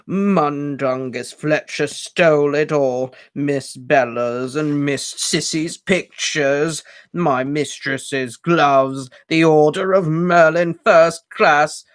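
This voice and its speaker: Man doing Kreacher, a croaking old house-elf. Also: on the high side, steady tone, a bit bright, dry recording, studio quality, pitched above the performer's own voice. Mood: wailing. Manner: slow, halting, gulping for air. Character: wretched old male house-elf, servile and bitter. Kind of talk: audiobook character voice.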